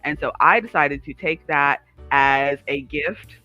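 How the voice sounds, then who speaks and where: A man's voice: on the high side, measured pace, pushed and bright, no echo, dull audio, faint music behind. A man co-hosting a livestream, chatting casually in a rambling, digressive way. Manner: chatty, digressive, talks to live viewers. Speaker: livestream co-host.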